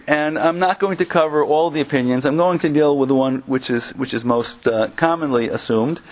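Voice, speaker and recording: Higher-voiced American man, halting religious talk. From Connecticut, United States. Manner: conversational, halting, with humorous asides. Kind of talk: informal religious lecture with anecdotes.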